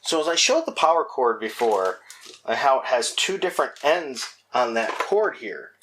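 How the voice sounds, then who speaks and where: A man at an everyday mid pitch talks through a hands-on gadget review in a relaxed, chatty way. He often stops mid-word and restarts, correcting himself as he goes. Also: moderate pace, noisy background. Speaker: host reviewing a product. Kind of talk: casual product review.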